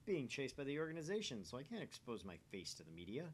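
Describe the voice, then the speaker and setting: A man's voice: medium pitch, huge pitch swings, quick pace, slight background noise. A man voicing a paranoid, grandiose young mad scientist, reading a visual novel aloud in a pompous, theatrical manner. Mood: exasperated, suspicious.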